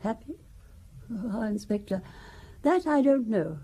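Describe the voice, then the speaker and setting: Husky, genteel ageing widow. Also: female voice, a little high, expressive, takes it slowly, warm tone, heavily acted. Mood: wistful, confiding. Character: genteel ageing French widow, chatty and wistful. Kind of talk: audio drama acting, conversational storytelling.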